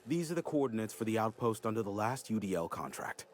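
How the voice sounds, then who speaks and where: A man's voice: fairly low pitch, big pitch swings, fast talker, slight background noise. An actor playing a brusque man who hands out jobs in a curt way.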